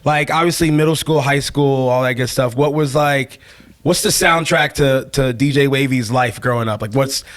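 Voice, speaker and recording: A man's voice: mid-range voice, normal speed, a bit bright. A young man hosting a casual interview, laid-back and chatty, full of "like" and "you know". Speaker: podcast host.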